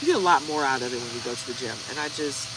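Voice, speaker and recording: A woman's voice: a bass voice, racing, very noisy. A woman in her forties chatting casually to her viewers while she reads tarot cards. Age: 40s. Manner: chatty, talks straight to viewers. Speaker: tarot card reader. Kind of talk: casual tarot reading vlog.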